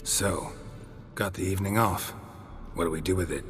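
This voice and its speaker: An actor playing a laconic, dry-humoured monster hunter in a fantasy video game, terse and deadpan. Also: male voice, sits low, moderate pace, big-room sound, soft background music. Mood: wry, relaxed. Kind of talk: cinematic game voice acting.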